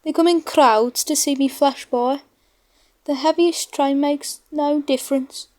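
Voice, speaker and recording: A woman's voice: high voice, steady tone, unhurried, a little mellow, voice pushed up. A woman voicing a conceited, grumpy male steam engine in a children's storybook, pompous and huffy. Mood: boastful. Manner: pompous, boastful.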